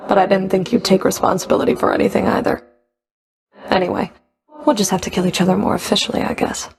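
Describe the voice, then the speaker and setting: A woman's voice: medium pitch, little pitch movement, quick pace, vocal fry, darkish tone, lightly acted. A woman playing a stern, sardonic underworld Fury in a video game, speaking in a cool, dry, clipped way. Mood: dry, teasing. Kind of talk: video game character voice acting.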